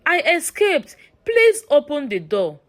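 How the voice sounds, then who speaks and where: A woman's voice: high-pitched, huge pitch swings, slow pace, pitched above the performer's own voice, heavily acted. Woman voicing an uncanny possessed schoolgirl. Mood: pleading. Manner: uncanny, deceptive. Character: possessed schoolgirl, eerie mouthpiece for a forest spirit.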